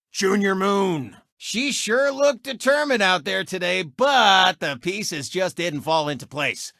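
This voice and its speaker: An actor voicing a cocky, gloating race car turned sportscaster in a video game, with a boastful, mocking announcer delivery. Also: male voice, very high-pitched, bright, forceful tone, no echo, clean studio sound, exaggerated voice.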